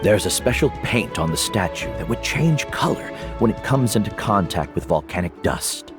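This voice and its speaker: A calm, wise old guide. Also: male voice, on the low side. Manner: calm, measured and explaining. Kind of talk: video game voice acting. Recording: game audio with background music.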